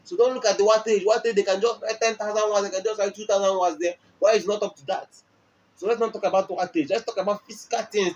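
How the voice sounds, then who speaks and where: A Nigerian man with a high-pitched voice gives an emphatic product explainer. He repeats his points and puts questions to the listener. The audio is slightly muffled, with faint noise behind him. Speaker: music equipment dealer and presenter.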